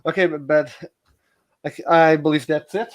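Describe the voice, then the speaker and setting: A man's voice: fairly high pitch, moderate pace. A man hosting a casual livestream conversation. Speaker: host.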